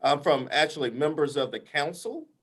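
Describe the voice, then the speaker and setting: A man's voice: on the high side, animated, moderate pace, breathy edge. A man with a big voice advising a council in a meeting over a video call, talking emphatically, often ending points with "right?" and restarting his sentences. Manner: emphatic, repeats "right?" as a tag, many restarts. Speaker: invited expert advising the council. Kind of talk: meeting discussion.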